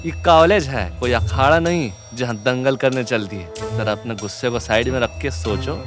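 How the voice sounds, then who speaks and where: A man's voice: mid-range voice, moderate pace, over music, close to an everyday voice. A man voicing a cautious, wry young student who scolds and reasons like a sensible friend in an audio story. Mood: wry caution.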